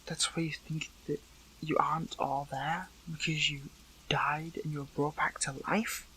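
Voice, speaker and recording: A man playing an earnest, hurt captive superhero in an audio roleplay, speaking in halting, pleading phrases. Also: a little high, flat delivery, sharp tone, slight background noise, poor audio. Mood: shocked, hurt. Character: captive male superhero, earnest, hurt, questioning an old friend. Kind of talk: dramatic audio roleplay acting, one side of a dialogue.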